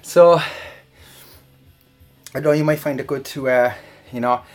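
A man's voice: medium pitch, a little slow, darkish tone, soft background music. A man talks in loose, rambling bursts while he works through exercises. He breathes hard between phrases and breaks into laughter often. His tone is casual, like he's talking to regular listeners. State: out of breath and sweaty. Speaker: online audio creator. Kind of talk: casual chat while working out.

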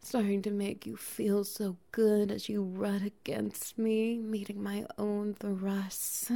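A woman voicing a doting, dominant female bear-woman, whispering softly and teasing with breathy laughs in an intimate audio roleplay. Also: medium pitch, flat delivery, slow pace. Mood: sultry, doting.

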